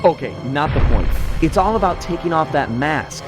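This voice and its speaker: A man's voice: a little high, expressive, moderate pace, over music, close to an everyday voice. Man playing a take-charge cartoon thief hero. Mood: focused, urgent. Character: level-headed male cartoon thief, leader of the gang. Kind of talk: comic dub cartoon acting.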